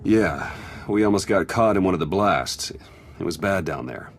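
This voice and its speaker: A man playing a sober, conciliatory officer in a video game, with a restrained, measured delivery. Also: low-pitched, animated, husky, full character voice. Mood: sombre. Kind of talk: video game cutscene acting. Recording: loud music over dialogue.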